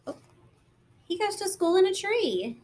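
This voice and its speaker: A woman reading a picture book aloud as a kindergarten teacher, gentle and expressive, explaining simply to young children. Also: very high-pitched, a little slow.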